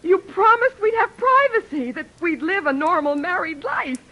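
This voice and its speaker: A woman in a 1940s radio comedy, playing a headstrong young woman author who is stubborn and quick-tempered. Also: very high voice, expressive. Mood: furious. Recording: dull old radio broadcast.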